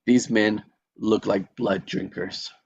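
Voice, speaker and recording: Low-voiced man narrating a screenplay. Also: fairly even intonation, slow, gravelly, lo-fi sound, a little hiss or hum. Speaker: narrator reading stage directions. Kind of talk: scripted narration at a table read.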